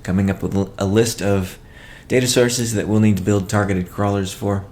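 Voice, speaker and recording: A man presenting a company update as a chief executive, in a measured, matter-of-fact way with halting phrasing. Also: lowish voice, fairly even intonation, darkish tone. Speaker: company CEO hosting a weekly call. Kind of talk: business update presentation, partly read from notes.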